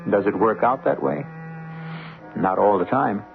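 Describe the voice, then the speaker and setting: A man hosting a vintage radio mystery, narrating a script in a measured, dramatic, ironic manner. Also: fairly low pitch, gravelly. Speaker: host and narrator. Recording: tinny old radio recording. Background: music.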